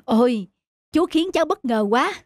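A man's voice: very high-pitched, lively intonation, normal speed, dry recording, clean studio sound. An actor playing a composed young businessman husband in a cartoon, courteous and earnest in manner. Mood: respectful, surprised. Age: young adult. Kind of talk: cartoon voice acting. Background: faint music.

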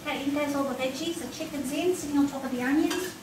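A middle-aged woman talks through a recipe casually, step by step, as she works. Her voice sits on the high side. She is a little way from the microphone in a roomy kitchen, with pans clattering and food sizzling clearly behind her. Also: fast talker. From Australia. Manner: plain step-by-step commentary while busy at the stove. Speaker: home cook presenting a recipe. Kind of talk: casual cooking demonstration. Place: home kitchen, indoors. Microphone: camera mic a few steps away. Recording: roomy, distant, noisy kitchen camera sound. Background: pots and dishes clattering, sizzling.

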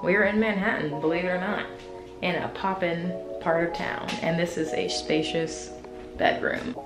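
Female vlogger, 20s, slightly low register, casual deadpan-playful chat, music clearly underneath. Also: normal speed. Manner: playful, dryly humorous. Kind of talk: casual vlog chat to camera. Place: indoors, small bare-walled apartment rooms. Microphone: camera's built-in microphone, a short distance away. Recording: roomy echo from bare rooms, music underneath.

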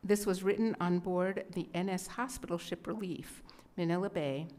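A woman's voice: fairly low pitch, fairly even intonation, moderate pace, a little mellow. A middle-aged American woman hosting an event from a podium microphone in an indoor gallery. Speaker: event host and narrator. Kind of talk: reading a scripted historical introduction at a podium. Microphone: gooseneck podium microphone.

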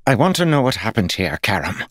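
A man's voice: on the low side, very animated, a little fast, no echo, studio quality, pitched above the performer's own voice. A male narrator voicing a crazed, furious noble war commander, snapping and imperious, in a fantasy audiobook. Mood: furious, demanding.

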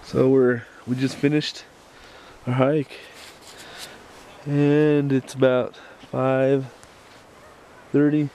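Relaxed American man filming by a stream. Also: medium pitch. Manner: relaxed, matter-of-fact commentary with short chuckles. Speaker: scout leader filming a backpacking trip. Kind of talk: casual handheld vlog narration. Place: outdoors in a mountain forest by a rushing stream. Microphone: handheld camera mic. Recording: camcorder outdoors with loud stream noise. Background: rushing stream water.

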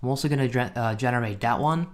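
Casual man hosting a software walkthrough. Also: mid-range voice, quick pace, heavy background noise. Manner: informal, addresses viewers as guys. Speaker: software reviewer and tutorial host. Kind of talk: casual screen-recording walkthrough.

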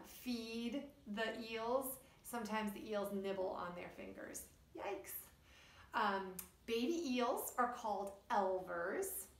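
A middle-aged woman, a preschool teacher reading aloud and explaining things to young children in an animated way. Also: medium pitch. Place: indoors, wood-panelled room by a puppet stage. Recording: roomy echo, distant microphone.

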